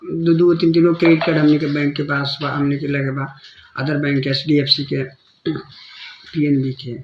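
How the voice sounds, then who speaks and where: A man's voice: on the high side, flat delivery, moderate pace. Echoey Bhojpuri-accented man, noisy recording. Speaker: content creator warning followers about scam calls. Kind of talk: casual phone call and informal talk to viewers. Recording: echoey, clearly noisy phone call recording.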